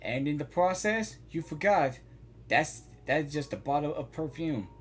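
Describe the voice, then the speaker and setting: Low-voiced woman reacting to a game. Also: animated, measured pace, soft background music. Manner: informal, offhand remarks. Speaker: reaction video creator. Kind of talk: casual gameplay reaction commentary.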